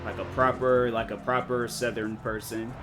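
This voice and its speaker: A man's voice: medium pitch, level tone, moderate pace. A young man chatting casually while streaming a racing game, rambling with many fillers and restarts. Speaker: gaming streamer. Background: game music and car engine sounds.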